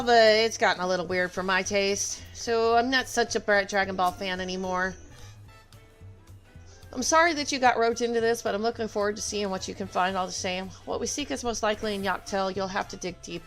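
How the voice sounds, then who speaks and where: A woman's voice: medium pitch, brisk, a bit bright, soft background music. A woman game streamer talking casually on a livestream and reading game dialogue aloud. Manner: opinionated, emphatic.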